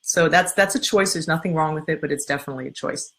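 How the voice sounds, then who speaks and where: A middle-aged woman speaks with a strong voice that sits a little low. She leads a live talk, calm and earnest, walking listeners through her steps one at a time. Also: quick pace. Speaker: coach and workshop leader. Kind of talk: live-streamed talk answering viewer comments.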